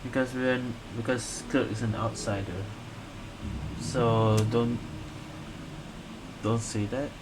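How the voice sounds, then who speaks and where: A man's voice: mid-range voice, fairly even intonation, slow pace, pauses often. A man who streams games, giving casual reaction commentary and musing aloud over the dialogue. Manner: echoes and muses on game dialogue. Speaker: gaming streamer. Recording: noisy room, game audio behind.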